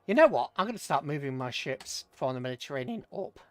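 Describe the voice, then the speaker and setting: A man's voice: mid-range voice, big pitch swings, quick pace, no room sound. British man, livestream game commentary, casual rambling asides, dry close sound, faint music behind. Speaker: livestream host playing a strategy game. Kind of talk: casual live game commentary, chatting with viewers.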